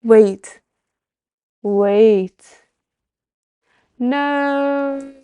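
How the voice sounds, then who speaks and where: A woman's voice: fairly high pitch, drawn-out words, mellow, smooth, dull audio. A woman streamer chatting casually on a livestream while playing a story game.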